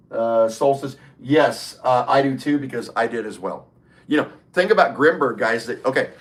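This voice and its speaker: A man's voice: mid-range voice, a bit bright. A middle-aged man hosts a casual livestream. He talks loosely and sociably, replying to chat comments by name as they come in. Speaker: livestream host. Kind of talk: casual live stream chat.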